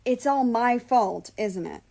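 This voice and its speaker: Audiobook role: female guardian spirit, bitter and self-blaming, pitched up, snapping sarcasm played by a woman narrator. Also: expressive, slow pace, creaky, warm tone, exaggerated voice. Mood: self-blaming, angry.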